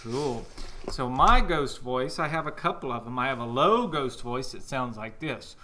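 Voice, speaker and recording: Upbeat, lively-voiced male music teacher. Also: medium pitch, moderate pace, a little hiss or hum. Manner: upbeat, encouraging, talks to kids at home. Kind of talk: children's music lesson.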